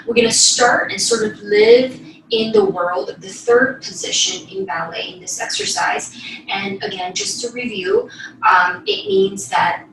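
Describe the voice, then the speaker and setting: A woman's voice: very high-pitched, big pitch swings, unhurried, vocal fry, noisy background. A woman giving spoken ballet class instruction, cueing moves in an encouraging way as she demonstrates. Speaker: ballet teacher. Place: small bedroom, indoors. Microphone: distant camera across the room. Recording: very echoey room, distant microphone. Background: piano music.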